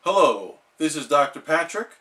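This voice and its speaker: A man's voice: a little high, very animated, moderate pace, pushed and bright. A man voicing a dim, overconfident male cartoon starfish who plays at being a doctor, emphatic and self-important. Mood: confident.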